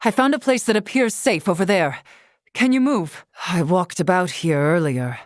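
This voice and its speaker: An actress playing a wise, long-lived female scholar in a video game, composed and curious, speaking in formal, measured, eloquent phrases. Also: lowish voice, dry recording, clean studio sound, close to an everyday voice. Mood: concerned, calm. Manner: formal, measured, eloquent, softly breathy at times. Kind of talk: scripted video game character dialogue.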